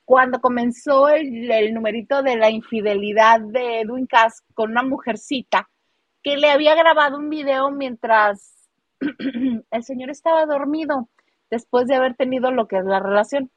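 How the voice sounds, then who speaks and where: High-voiced Mexican woman chatting gossip. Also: normal speed, dry recording, highs cut off. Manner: playful, teasing. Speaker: co-host of a celebrity gossip live stream. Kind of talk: casual gossip chat, reading viewer comments.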